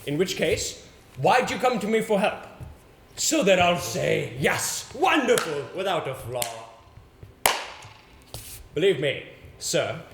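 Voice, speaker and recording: A man plays an exasperated, pompous man of the theatre in a stage play. His fairly high voice is emphatic and sarcastic, every phrase theatrically placed. It rings in a roomy space with faint noise behind. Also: sing-song, slow pace, pauses often, exaggerated voice. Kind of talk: amateur stage play acting.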